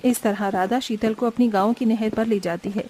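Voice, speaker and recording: A woman's voice: a little high, fairly even intonation, normal speed, mellow, slight background noise. A woman narrating a scripted family cartoon story.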